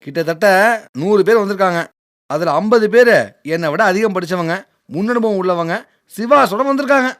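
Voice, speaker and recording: A man's voice: high voice, expressive, brisk, fairly bright tone. A man playing a food-loving, jaded young job seeker in a Tamil radio drama, casual and grumbling. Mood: cynical, resigned.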